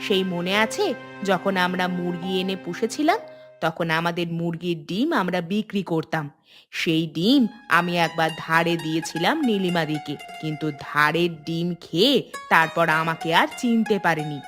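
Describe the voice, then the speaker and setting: A woman's voice: lowish voice, expressive, normal speed, fairly bright tone, soft background music. A woman voicing a gossipy village housewife in a cartoon, complaining as she recounts old grievances. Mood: resentful, gossipy. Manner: complaining, storytelling. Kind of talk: cartoon dialogue.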